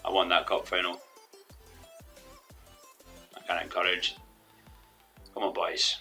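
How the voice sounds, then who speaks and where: A young man commentating his own football management game in a rambling, hesitant way, his voice a little hoarse from losing it. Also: low voice, completely flat delivery, slow pace, bright, forceful tone. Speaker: gaming commentator. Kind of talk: let's play commentary. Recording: faint background music.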